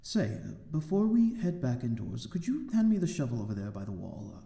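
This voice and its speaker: A man's voice: medium pitch, very animated, brisk, vocal fry, dark tone, echoey room. A man playing a courteous, lonely vampire lord in an ASMR roleplay, speaking in a genteel, formal and slightly hesitant manner. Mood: tender, grateful. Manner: genteel, formal, hesitant, old-fashioned wording. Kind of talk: ASMR audio roleplay, amateur drama.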